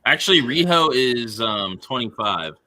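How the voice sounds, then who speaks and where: A man's voice: medium pitch, animated, a little slow, bright, forceful tone. A man chatting casually about wrestling in short, matter-of-fact remarks.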